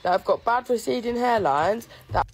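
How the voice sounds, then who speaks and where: A woman's voice: a little high, animated, moderate pace. A woman venting brashly to camera in a social media clip, full of "yeah" and "innit".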